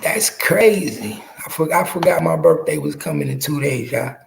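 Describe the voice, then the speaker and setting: A man's voice: fairly high pitch, animated, moderate pace, creaky, slight background noise. A man in his early forties giving casual, slangy street commentary to his viewers, emphatic and profane, repeating phrases to drive them home. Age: early 40s. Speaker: podcast host.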